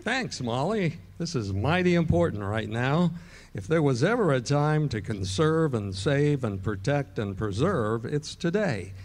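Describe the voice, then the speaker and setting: Brief: male actor as a genial announcer, friendly sales pitch, breezy and relaxed. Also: unhurried. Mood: earnest. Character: genial radio announcer doing a sponsor plug. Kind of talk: live stage radio play reading. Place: ballroom stage before an audience.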